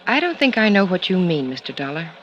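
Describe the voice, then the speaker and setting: A prim, nervous secretary talks in a hesitant, careful way. The voice sits high. The old radio recording is dull, with a faint hiss behind it. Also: male voice, very animated, fast. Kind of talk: 1950s radio drama acting.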